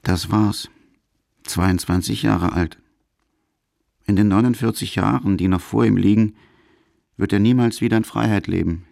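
A man's voice: fairly low pitch, steady tone, normal speed, gravelly, long pauses, darkish tone, no echo, studio quality. A man narrating a radio documentary from a script.

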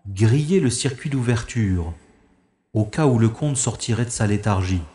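A man's voice: fairly low pitch, expressive, moderate pace, warm tone, roomy sound, big-room sound, close to an everyday voice. A man playing a wry, unflappable special agent. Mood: calm. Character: special agent hero, calm and wry, narrating his own escape. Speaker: lead character and narrator. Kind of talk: first-person audio drama narration.

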